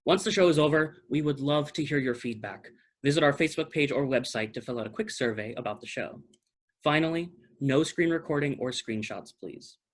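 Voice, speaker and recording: Mid-pitched man making pre-show announcements. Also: a little fast. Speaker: theatre company announcer.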